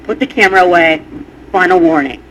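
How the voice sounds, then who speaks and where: A man's voice: high voice, fairly quick, fairly bright tone. A man who is a police officer, giving orders in a confrontational, commanding way. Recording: muffled camera recording, faint noise.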